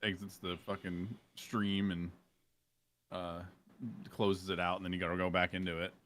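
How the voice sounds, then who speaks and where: A man's voice: low-pitched, little pitch movement, moderate pace, fairly bright tone, a little hiss or hum. A man chatting casually as a streamer guest, in loose, slangy, sweary talk. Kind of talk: casual chat.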